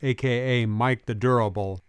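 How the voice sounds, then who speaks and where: A man's voice: mid-range voice, fairly even intonation, moderate pace, clear, resonant tone, dry recording. A man reading scripted documentary narration in an informal, folksy way. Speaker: video essayist, narrator. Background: music bed with guitar.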